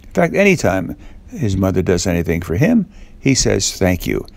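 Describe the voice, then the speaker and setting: A man telling a children's story off the cuff, in a halting way with little questions to the listener. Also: sits low, big pitch swings, creaky, darkish tone, no echo.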